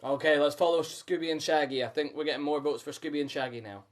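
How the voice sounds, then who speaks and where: A man's voice: fairly high pitch, steady tone, quick pace. A man reading a storybook aloud as host, with chatty asides to listeners.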